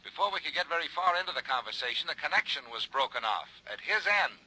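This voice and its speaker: Jefe de seguros rápido y ronco. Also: male voice, fairly high pitch, lively intonation, pushed and bright, breathy edge, exaggerated voice, low-quality recording. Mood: brisk. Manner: brisk, matter-of-fact briefing. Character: brisk male insurance office manager on the phone. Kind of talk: 1950s radio drama acting.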